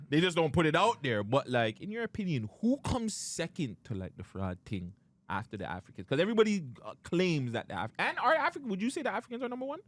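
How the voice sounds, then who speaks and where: A man's voice: fairly high pitch, wildly swooping pitch, fast, fairly bright tone, no echo. A man hosting a casual podcast interview, quizzing his guest in loose street slang and laughing often. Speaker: podcast host.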